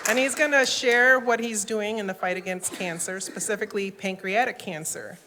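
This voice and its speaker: A woman introduces a guest speaker at a public event, warm and gracious. Her voice rings through a reverberant hall, and loud crowd sound and noise sit behind it. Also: medium pitch, steady tone, moderate pace. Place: city hall event room.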